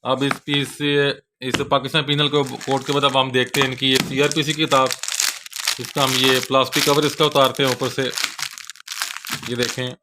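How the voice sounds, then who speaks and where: A man's voice: mid-range voice, little pitch movement, moderate pace, background noise. A man with a Pakistani accent gives a casual, unscripted product overview as a seller, often closing his sentences with a polite tag. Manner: repeats a polite verbal tag at sentence ends. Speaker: bookseller presenting products.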